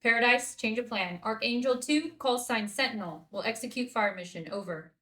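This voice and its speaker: A woman playing a commanding military officer in a table read, crisp and direct. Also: a little high, moderate pace, a bit bright, natural voice. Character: commanding female military officer, now retired.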